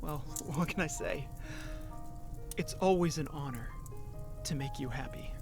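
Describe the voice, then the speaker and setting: A man's voice: fairly high pitch, measured pace. A man playing an earnest, playful, lovestruck ordinary young guy in an indie audio drama, with a theatrically joking manner. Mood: earnest, tender. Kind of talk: indie audio drama acting with first-person narration. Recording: music under the voice. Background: music.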